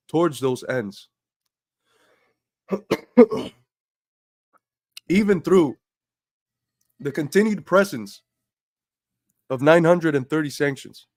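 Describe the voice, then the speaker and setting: A man's voice: on the high side, dry recording, clean studio sound. A man hosting a livestream, talking earnestly and building to emphatic points while feeling under the weather. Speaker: livestream host. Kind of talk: casual livestream talk.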